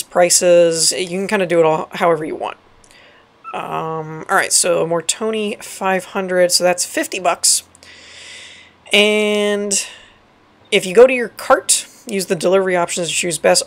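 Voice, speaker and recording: A woman with a raspy, dry voice giving casual let's play commentary, reading game text aloud and correcting herself as she goes. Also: lowish voice. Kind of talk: casual gameplay tutorial commentary.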